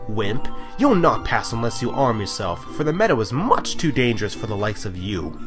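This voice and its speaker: A man's voice: mid-range voice, very animated, normal speed, over music, lightly acted. A man voicing a bossy young forest boy gatekeeper in a storybook poem, haughty and dismissive. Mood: scornful.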